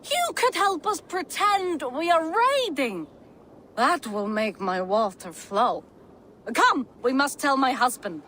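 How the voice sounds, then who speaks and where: A woman playing a bold, lusty Viking wife in a video game, eager for excitement. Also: high voice, slow pace, a little hiss or hum, full character voice. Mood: eager, seductive.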